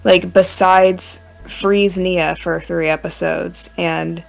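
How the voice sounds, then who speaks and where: A woman's voice: lowish voice, level tone, slow pace, creaky, low-quality recording. A woman co-hosting a podcast, giving her opinions in a casual group chat. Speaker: podcast co-host.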